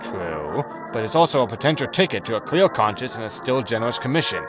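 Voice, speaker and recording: An actor playing a pragmatic, wry, world-weary spaceship captain in a science fiction audio sitcom. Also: male voice, medium pitch, big pitch swings, fast talker, over music, natural voice. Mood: brisk, decisive.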